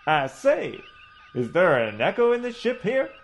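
A man's voice: high-pitched, huge pitch swings, unhurried, voice pushed up, exaggerated voice. A man doing a pompous old doctor. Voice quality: pompous, florid, preachy. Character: pompous old Southern male ship's doctor.

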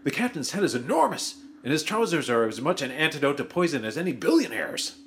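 A man's voice: fairly high pitch, big pitch swings, brisk, a bit bright, a little hiss or hum. A man voicing a greedy, whiny male crewman in a comedy audio drama, given to giddy squeals. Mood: sarcastic.

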